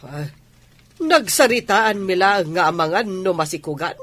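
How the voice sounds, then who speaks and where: A woman's voice: fairly low pitch, expressive, a little slow, fairly bright tone. A woman with an Ilocano accent playing a skeptical, questioning village woman in a radio drama.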